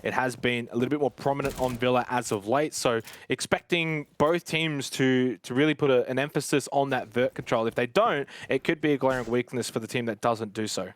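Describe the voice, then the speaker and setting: A man's voice: mid-range voice, brisk, few pauses. A man giving live commentary and analysis on an esports match.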